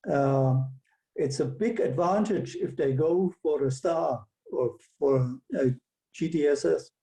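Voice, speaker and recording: A man answering as an interview guest, halting and searching for his words. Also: on the high side, vocal fry, warm tone, poor audio.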